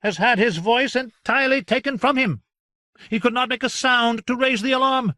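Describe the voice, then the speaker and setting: A man voicing an indignant male madhouse attendant who protests in a high, loud, aggrieved voice. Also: normal speed, dry recording, clean studio sound.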